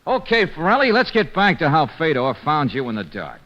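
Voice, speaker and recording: A man's voice: on the high side, huge pitch swings, fairly quick. Hard-boiled, needling radio detective man. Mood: pressing. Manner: sardonic, probing. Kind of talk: old-time radio detective drama acting. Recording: dull lo-fi old radio recording.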